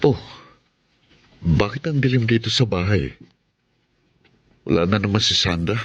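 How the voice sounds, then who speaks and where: An actor playing a suspicious, possessive live-in boyfriend, complaining and exasperated in Filipino radio drama style. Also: male voice, lowish voice, animated, a little slow, highs cut off, slight background noise. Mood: grumbling, weary.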